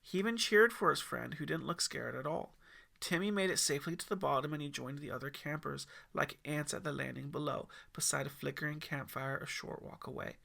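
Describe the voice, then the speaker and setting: A man's voice: fairly high pitch, a little fast, vocal fry, bright, forceful tone. A man narrating a scripted audiobook story expressively, as its author and reader.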